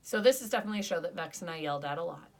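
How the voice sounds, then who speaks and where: Young female podcast co-host, lowish voice, casual and rambly. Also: rapid-fire. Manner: chatty, lots of "like" fillers, animated when ranting. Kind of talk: casual podcast review chat.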